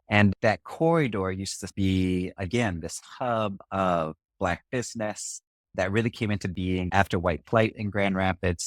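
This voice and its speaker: A man's voice: deep voice, fairly bright tone, dry recording. A man from Singapore, a community organizer, telling his story in an interview in a thoughtful way, often checking in with "right?". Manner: thoughtful, with frequent "right?" asides. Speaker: racial justice organizer.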